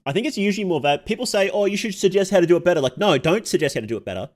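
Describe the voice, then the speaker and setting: A man's voice: sits high, expressive, rapid-fire. A man giving casual livestream commentary, thinking aloud as he goes, musing and questioning himself. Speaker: streamer reacting to horror videos.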